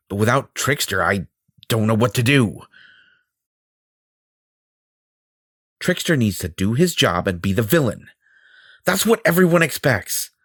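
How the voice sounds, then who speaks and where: A man's voice: on the low side, breathy edge, no echo, clean studio sound, full character voice. A man playing a vain, possessive superhero in an audio roleplay, theatrical and self-important, with a flirtatious streak. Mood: frustrated, brooding.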